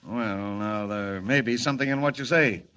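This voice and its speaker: A man playing a gruff, flustered American train conductor in 1940s radio style, blustering and folksy. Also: medium pitch, normal speed, pushed and bright, highs cut off, lightly acted. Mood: grudging. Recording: very noisy old 1940s radio recording.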